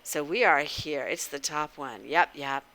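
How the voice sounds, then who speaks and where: A woman's voice: low voice, a little slow, bright, forceful tone, poor audio. A woman hosting a livestream in casual, informal chat, addressing viewers directly and often asking them questions. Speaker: livestream host. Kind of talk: casual interactive game stream chat.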